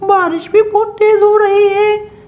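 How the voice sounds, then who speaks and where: A fretful cartoon mama bird, pitched very high. She is anxious and reproachful, scolding like a worried mother. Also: little pitch movement, unhurried, a little mellow, muffled recording. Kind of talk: Hindi cartoon voice acting.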